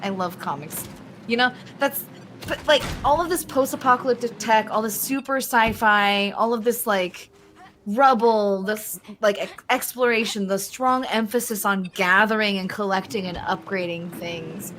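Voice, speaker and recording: A woman's voice: mid-range voice, normal speed, fairly bright tone, slight background noise. A woman doing casual, playful co-commentary on a live game stream in an effusive way. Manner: playful, effusive, uses internet slang.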